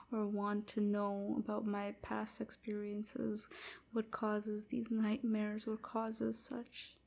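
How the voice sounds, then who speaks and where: Woman acting a breathy, slow, sorrowful vampire slave. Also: a little high, exaggerated voice. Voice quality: breathy, husky, often near whisper. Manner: slow, hesitant, softly confiding. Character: melancholy enslaved female vampire, gentle, wounded, grateful. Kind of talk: intimate roleplay audio drama monologue.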